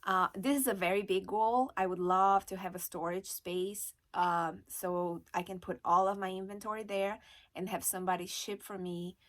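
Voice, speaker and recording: A woman's voice: mid-range voice, fairly even intonation, normal speed, a bit bright. A woman from Brazil with a Brazilian Portuguese accent, chatting casually to camera as an online reseller, with many fillers and restarts. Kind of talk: casual vlog talk to camera.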